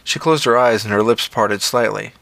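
A man's voice: fairly low pitch, normal speed, creaky, a bit bright, no echo. A man narrating a scripted first-person story as an audio fiction reader.

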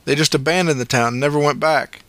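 A man's voice: a little high, steady tone, fast talker, fairly bright tone, no room sound, voice pushed up, close to an everyday voice. A man doing an urgent, worried paramedic. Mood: somber. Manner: urgent, calls the patient buddy. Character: worried male paramedic, urgent but comforting.

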